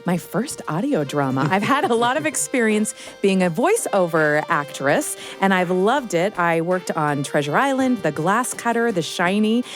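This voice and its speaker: A woman's voice: mid-range voice, very animated, normal speed, hardly any pauses, soft background music. A woman chatting enthusiastically and casually as an audio drama director. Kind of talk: casual post-show conversation.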